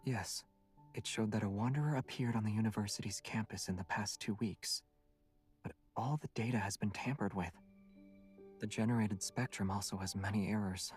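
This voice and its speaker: Male actor as young game hero, a hunter, calm and self-assured, understated delivery, faint music underneath. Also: on the low side, moderate pace, natural voice. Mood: calm, serious. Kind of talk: scripted game voice acting.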